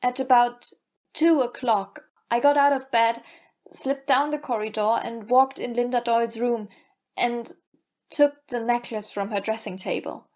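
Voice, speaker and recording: A woman's voice: on the high side, fairly even intonation, measured pace, a little mellow, dull audio, close to an everyday voice. A woman playing an anxious, remorseful thief in an audio play, earnest and hesitant. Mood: confessing. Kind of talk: amateur audio play acting.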